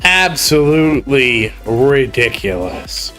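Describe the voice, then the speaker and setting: A man's voice: medium pitch, lively intonation, slow pace, pushed and bright, faint music behind. Congested man chatting on a game stream. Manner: grumbling, rambling, exasperated. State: congested with a cold. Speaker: gaming streamer. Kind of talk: casual live stream chat.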